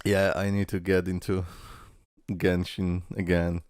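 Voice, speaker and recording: A man's voice: sits low, a little slow, clear, resonant tone, dry recording, studio quality. A man giving casual live reaction commentary, blunt, exclamatory and full of swearing, as the host of a reaction video. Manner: animated, exclamatory, blunt and profane. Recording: game trailer music behind the voice. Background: music from the video being watched.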